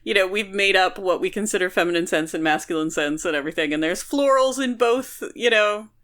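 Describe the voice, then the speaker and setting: A woman's voice: medium pitch, brisk, fairly bright tone. A woman co-hosting a podcast, talking casually about a book in a chatty, explanatory and emphatic way. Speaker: podcast co-host.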